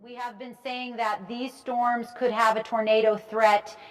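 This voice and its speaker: A woman's voice: a little high, flat delivery, a little slow, slight background noise, close to an everyday voice. A woman playing a TV meteorologist who explains and instructs in live severe weather commentary. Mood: serious, explanatory. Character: female TV meteorologist giving urgent tornado coverage. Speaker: weather broadcaster.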